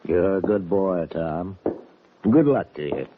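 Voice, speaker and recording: An actor playing a scheming Western villain in an old-time radio drama, talking in a folksy, falsely fair drawl. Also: male voice, low voice, expressive, slow pace, noisy background. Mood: smooth, persuasive. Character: hard, scheming Western villain, a fatherly-sounding ranch boss. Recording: tinny, band-limited old radio recording.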